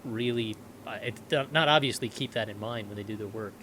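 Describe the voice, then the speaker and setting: A male podcast co-host with a bright, forceful tone asks long, meandering questions. He slips in jokes between them, over faint background noise. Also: fairly low pitch, fairly quick. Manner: jokey, long winding questions. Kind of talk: casual interview talk.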